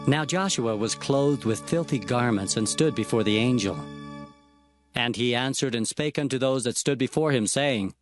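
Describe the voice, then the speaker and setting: A man's voice: mid-range voice, normal speed, lightly acted. A man playing an earnest, awed prophet who recounts his visions reverently and expressively as narrator of dramatized scripture. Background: faint music.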